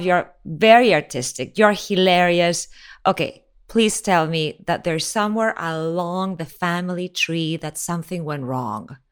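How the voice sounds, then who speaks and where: A woman's voice: medium pitch, a bit bright, clean tone. A woman interviewer asking playful questions and laughing often. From Spain, Mexico, Miami and Venezuelan mix. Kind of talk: casual interview chat.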